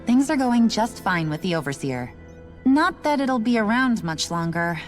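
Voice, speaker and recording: An actress playing a resigned, matter-of-fact station worker in a video game, explaining things in a casual, unbothered way. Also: female voice, mid-range voice, sing-song, moderate pace, soft background music, close to an everyday voice. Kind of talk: video game cutscene voice acting.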